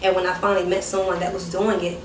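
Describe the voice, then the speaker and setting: Young woman, soft voice, rambling guest storyteller; distant, echoey recording. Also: fairly low pitch, little pitch movement, fast. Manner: rambling, self-correcting storytelling. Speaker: guest, ministry founder. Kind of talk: personal testimony in casual chat. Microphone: distant mic. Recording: roomy, distant mic with background noise.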